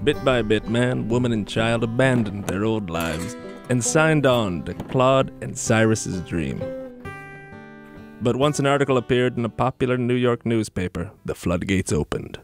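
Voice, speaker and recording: A man narrates a historical documentary with a deliberate, storytelling delivery. His voice sits at an average male pitch, and plucked guitar plays clearly underneath. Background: plucked guitar music.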